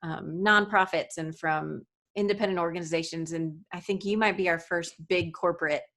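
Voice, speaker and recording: A woman's voice: fairly low pitch, fairly even intonation, moderate pace, fairly bright tone. A woman hosting a podcast interview, enthusiastic and giggly. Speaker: podcast host.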